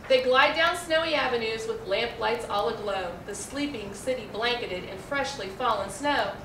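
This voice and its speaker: A middle-aged American woman with a high, bright voice reads a children's storybook aloud, articulating carefully and expressively. She is recorded at a distance in an echoey room. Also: normal speed. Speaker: librarian reading a picture book aloud. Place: indoor room, seated before a backdrop. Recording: roomy, distant mic, faint noise.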